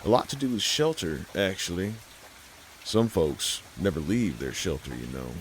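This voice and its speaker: A man with a low, deep voice tells a scary story in a folksy, unhurried way. He talks right to the listener like a host by the fire. There is a faint hiss behind him. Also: very animated, rough recording. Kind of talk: scripted horror story narration.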